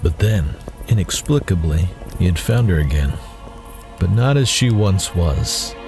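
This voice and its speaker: A man's voice: low voice, expressive, measured pace, over music. A man narrating a scripted science fiction story as the storyteller of an audio drama.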